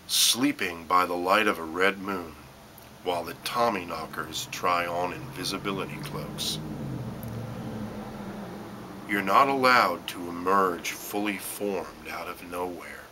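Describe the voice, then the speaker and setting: A man reading his own poetry as dramatic spoken word, in deliberate short phrases with pauses and emphatic stresses. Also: low voice, sharp tone, slight background noise. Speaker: poet reading own work.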